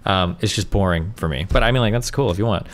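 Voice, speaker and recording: A man's voice: low-pitched, fast. A man in his thirties, a streamer debating from his home setup, talking down to his opponent. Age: 30s. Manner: condescending, testing principles. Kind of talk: heated debate. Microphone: studio mic on arm.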